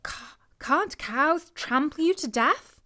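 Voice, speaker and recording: A woman's voice: high-pitched, lively intonation, slow, sharp tone, pitched above the performer's own voice, heavily acted. A British woman voicing a sceptical, chiding woman in a children's story, questioning and reproachful. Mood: doubtful.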